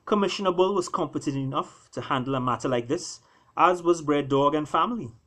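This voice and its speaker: A man, an author reading his own work, narrates an animal fable expressively, with deliberate, dramatic phrasing and pauses. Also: a little high, a bit bright.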